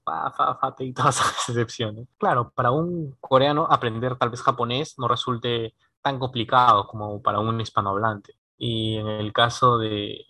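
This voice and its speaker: A man in a casual conversation, musing aloud in a lively way and laughing now and then. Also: mid-range voice, no echo.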